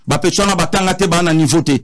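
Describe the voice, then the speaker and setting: Emphatic Congolese man, higher-pitched voice. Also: fast talker. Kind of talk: animated political discussion, switching between languages.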